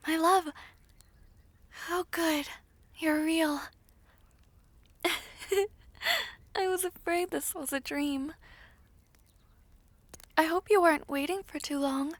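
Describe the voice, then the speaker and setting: High-voiced, shy young fiancée acted by a woman. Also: steady tone, slow, pauses often, dry recording. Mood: tearful relief. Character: shy, anxious, grateful young fiancée, formerly a maid. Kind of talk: fantasy audio roleplay acting.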